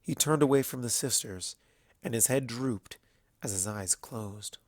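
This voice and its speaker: A man's voice: mid-range voice, a little slow, darkish tone, no room sound, studio quality. A man reading scripted fantasy fiction aloud as the narrator.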